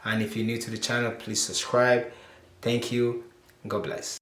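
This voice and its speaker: A man from Nigeria, hosting a casual podcast interview, relaxed and full of fillers, laughing easily. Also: medium pitch, unhurried, slight background noise. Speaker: podcast host.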